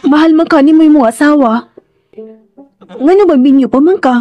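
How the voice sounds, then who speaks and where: A woman acting a timid, curious young household maid in a Filipino radio drama, with a Visayan accent and halting, exclaiming speech. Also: high voice, warm tone, soft background music, close to an everyday voice. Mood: curious, sympathetic. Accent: Visayan Philippine. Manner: hesitant, halting, exclaiming with many interjections.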